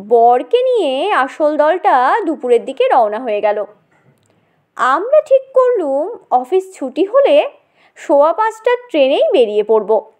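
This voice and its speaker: A woman narrating a comic story in an animated, dramatic and conversational way, as if speaking straight to the listener. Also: high voice.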